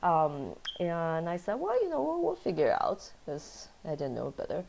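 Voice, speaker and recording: A woman from Taiwan and Kansas speaks in a low voice as an interview guest. She tells her story in an animated, easygoing way and laughs often. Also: normal speed, vocal fry. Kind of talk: casual interview chat.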